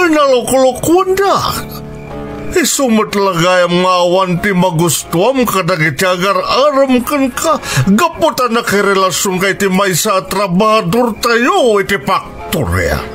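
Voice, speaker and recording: A man's voice: high voice, huge pitch swings, takes it slowly, fairly bright tone, soft background music, full character voice. An actor playing a wealthy, stern father who scolds his daughter with scorn, in a radio drama. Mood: angry scolding.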